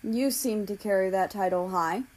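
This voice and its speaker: A woman's voice: mid-range voice, moderate pace, darkish tone, close to an everyday voice. A woman voicing a cold, curt, scheming teenage boy, an ex-boyfriend, in a story reading.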